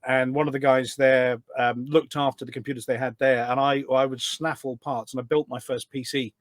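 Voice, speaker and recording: A man's voice: mid-range voice, little pitch movement, moderate pace, dry recording. A middle-aged British man chatting casually as a podcast guest, rambling and correcting himself as he reminisces. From United Kingdom.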